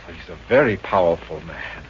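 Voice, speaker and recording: A man's voice: deep voice, lively intonation, moderate pace. A man playing a self-important, easily flustered psychiatrist, stammering and pompous, in 1950s radio comedy style. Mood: explaining. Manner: hesitant, stammering, indignant pomposity. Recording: dull, noisy old-time radio recording.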